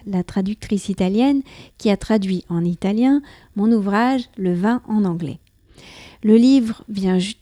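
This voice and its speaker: A woman from France hosting her own podcast, reading a scripted account of her day in a careful, clear manner. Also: medium pitch, warm tone, no room sound, studio quality. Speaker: podcast host. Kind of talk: scripted personal narration. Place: near Paris.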